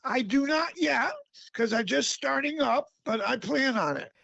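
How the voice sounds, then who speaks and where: A middle-aged man with a raspy voice, a guest author talking casually in an interview, halting often with fillers. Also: extremely high pitch, expressive, bright, forceful tone, no room sound, highs cut off. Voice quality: raspy, gravelly.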